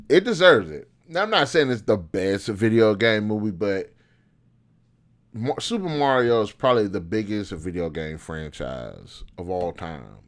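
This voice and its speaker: A man's voice: lowish voice, lively intonation, measured pace. A man giving casual, unscripted opinion commentary as a video host, talking colloquially and thinking aloud as he goes.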